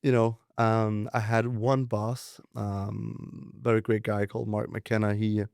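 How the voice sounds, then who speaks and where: A young man telling his story in a relaxed, rambling way, full of fillers and restarts. His voice is low and gravelly, with a dark, mellow tone. His delivery stays flat and even, and the sound is dry and close. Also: takes it slowly, dry recording. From Denmark, raised in southern France. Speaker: guest, venture capitalist. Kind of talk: casual interview storytelling.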